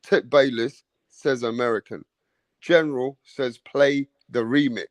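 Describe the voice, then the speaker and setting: Brash livestream host. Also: male voice, medium pitch, fairly even intonation, measured pace, no room sound. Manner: brash, swears, pushes viewers to vote. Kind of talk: casual livestream chat.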